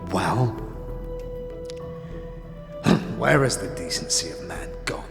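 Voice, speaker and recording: An actor playing a sly, withered old scavenger boss in a fantasy audio drama, wheedling and cagey, with archaic, inverted phrasing. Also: male voice, sits low, animated, takes it slowly, creaky, over music, full character voice. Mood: grudging, indignant. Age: older. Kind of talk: audio drama character acting. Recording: long cavernous reverb.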